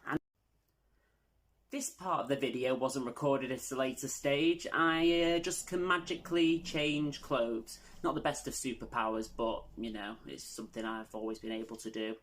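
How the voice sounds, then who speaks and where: A woman hosting a video channel, making a casual announcement to viewers with tongue-in-cheek, self-deprecating humour. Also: sits low.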